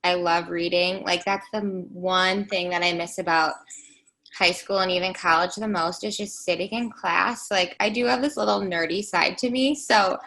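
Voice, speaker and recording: A woman in her twenties co-hosting a podcast, bubbly and upbeat, with a high voice, chatting casually. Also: fairly even intonation, moderate pace, fairly bright tone, rough recording. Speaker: podcast co-host. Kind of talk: casual chat.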